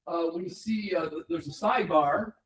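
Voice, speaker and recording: A man's voice: a little high, moderate pace, a loud crowd. A man speaking casually on a video call as a member of the audience. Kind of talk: casual chat.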